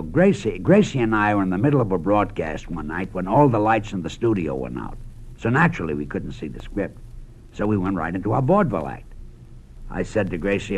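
A man's voice: lowish voice, darkish tone, a little hiss or hum, poor audio. An older man hosting, telling a dry anecdote to introduce a routine. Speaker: host. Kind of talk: reminiscing introduction.

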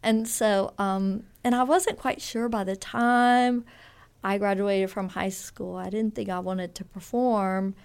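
A woman's voice: medium pitch, steady tone, slow pace, clean tone. Woman in her 50s from louisiana, rambly storyteller, keeps restarting her sentences, easygoing radio guest. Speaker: fiber artist guest. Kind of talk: radio interview.

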